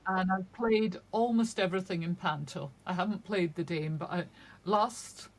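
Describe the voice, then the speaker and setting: A woman's voice: fairly low pitch, normal speed. An older woman from Shetland, a crime novelist being interviewed, chatting in a wry, storytelling way. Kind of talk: casual interview chat.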